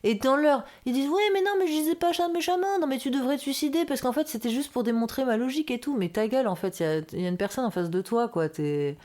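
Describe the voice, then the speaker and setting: A woman's voice: high-pitched, fast, few pauses. A woman in her twenties with a Parisian accent, a singer and streamer chatting casually as a guest and acting out what others said to her. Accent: Parisian French. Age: 20s. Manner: animated, many fillers, acts out quoted lines. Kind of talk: casual conversational interview.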